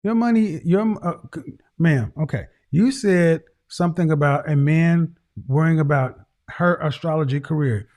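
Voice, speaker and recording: A man with a fairly high voice lays into a caller with blunt, lecturing advice, repeating his points for weight. He sounds middle-aged and talks over a video call. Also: moderate pace, a little mellow. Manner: harsh, lecturing, repeats phrases. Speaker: host.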